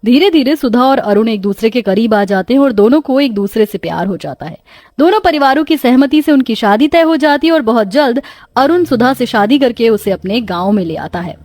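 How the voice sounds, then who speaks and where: A woman's voice: on the high side, expressive, brisk, a little mellow, no room sound, studio quality. A woman reading a scripted story as its narrator.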